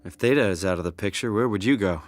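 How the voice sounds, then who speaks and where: An actor plays an ordinary man as the hero of a video game. He is earnest and inquisitive, with a casual, wry delivery. His voice sits fairly low, with soft music in the background. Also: fast talker, close to an everyday voice. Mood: puzzled. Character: everyman male game protagonist, questioning, earnest, wry.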